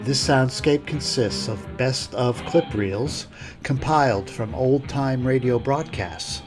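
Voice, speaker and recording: A man's voice: mid-range voice, slow. A man reading a scripted introduction as host of a podcast. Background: music.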